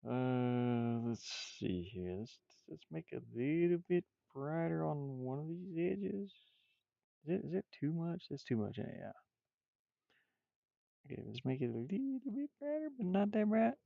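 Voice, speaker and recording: A man's voice: on the high side, big pitch swings, unhurried, creaky, no room sound, narrow, tinny audio. A man chatting casually on a livestream while he works, rambling and half muttering to himself. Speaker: streamer, game developer. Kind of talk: casual livestream chat while drawing game art.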